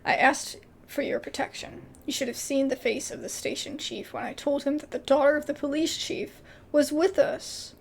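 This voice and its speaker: A woman's voice: high-pitched, steady tone, normal speed, creaky. A woman doing casual video game commentary and reading dialogue aloud, with informal, exclamatory asides.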